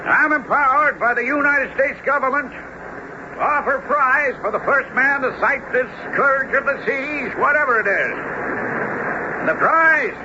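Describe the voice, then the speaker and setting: Authoritative naval captain, high projecting voice, declamatory, radio drama, dull lo-fi sound. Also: male voice, a little slow. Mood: solemn, proclaiming. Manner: formal, declamatory. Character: commanding male naval captain, brisk and authoritative. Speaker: actor. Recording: noisy, muffled old radio recording.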